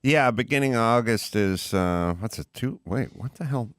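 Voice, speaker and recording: A man's voice: medium pitch, animated, normal speed, no room sound. A man hosting a podcast in loose, wry casual chat, swearing offhand and introducing callers. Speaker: podcast host.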